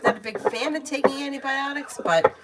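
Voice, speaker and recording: A woman's voice: high voice, brisk, heavy background noise. A woman giving tarot readings on a casual livestream, chatting candidly, swearing freely and calling viewers by name. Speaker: tarot reader answering viewer questions.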